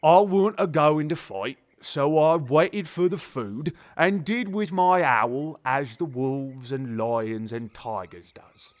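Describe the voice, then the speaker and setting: An actor playing a grumpy but genial elderly zookeeper, telling things bluntly in rambling colloquial speech for an audio drama. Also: male voice, a little high, takes it slowly, hardly any pauses. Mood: mollified, wry. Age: older. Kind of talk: audio drama character acting.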